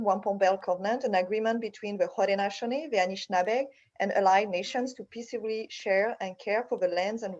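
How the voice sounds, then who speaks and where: A woman from France with a French accent, facilitating an online session with a somewhat halting delivery. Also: medium pitch, darkish tone, muffled recording. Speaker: session facilitator, program manager. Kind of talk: welcoming introduction to an online session. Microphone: video call.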